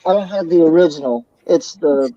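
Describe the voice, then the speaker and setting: Earnest halting man, dark muffled voice. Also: on the high side, dry recording. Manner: halting, restarts words, plain and earnest. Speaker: guest talking about himself. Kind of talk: casual group chat.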